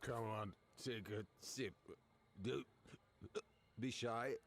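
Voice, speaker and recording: A man's voice: mid-range voice, fairly even intonation, drawn-out words. An actor playing a small, pushy, drunken fairy man with slurred, cajoling speech in a video game. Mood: drunkenly coaxing. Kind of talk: video game character acting.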